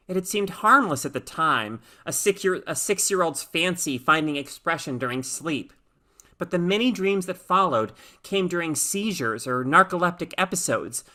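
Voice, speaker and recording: Woman reading a short story out loud, bright voice, careful storyteller delivery. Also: deep voice. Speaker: story reader. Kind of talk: expressive audiobook-style narration of fiction.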